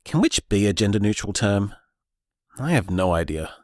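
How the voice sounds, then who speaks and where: Actor doing earnest young guy figuring out magic, lowish voice, swings up and down, dry sound. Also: normal speed, no room sound. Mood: musing. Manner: thoughtful, musing, lively delivery. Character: earnest young man discovering luck magic. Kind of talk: audio drama, voice-message monologue.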